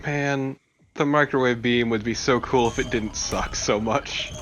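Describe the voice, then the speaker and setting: A man's voice: medium pitch, steady tone, unhurried, a bit bright, faint music behind. A man co-commentating a video game in casual, joking, profane banter. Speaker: co-commentator on a let's play. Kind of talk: casual banter over gameplay.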